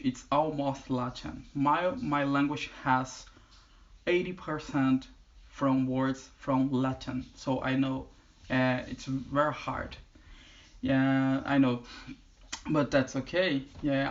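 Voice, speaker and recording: Man, Brazilian accent, Portuguese native speaker, halting learner English, mid-pitched, dull lo-fi recording. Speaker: channel host. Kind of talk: casual vlog talk. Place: indoors in the UK.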